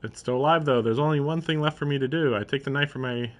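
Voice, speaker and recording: A man's voice: medium pitch, fast. A man live-streaming a visual novel, reading it aloud in a mock-dramatic way and breaking off into sarcastic, sometimes profane asides. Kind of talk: live commentary mixed with dramatic read-aloud.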